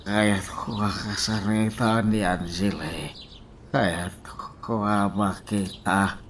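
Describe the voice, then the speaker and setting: A man acting as a measured but insistent, lovesick boss in an Ilocano radio drama. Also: lowish voice, fairly even intonation, background noise. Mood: yearning. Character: insistent lovesick boss pining to see a woman.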